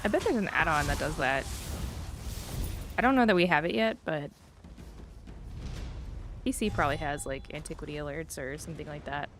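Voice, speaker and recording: A woman's voice: deep voice, normal speed, long pauses, over music. A woman chats casually while live streaming a game, thinking aloud in a rambling, self-deprecating way.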